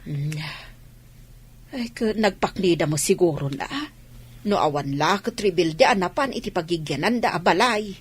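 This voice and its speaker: A woman's voice: on the low side, moderate pace, husky. A woman playing a matter-of-fact, reassuring village woman in conversational Ilocano radio drama.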